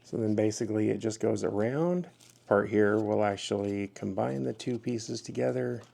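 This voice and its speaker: A man's voice: fairly low pitch, moderate pace, creaky, warm tone. A man giving a casual, hands-on product review as the host of his own video.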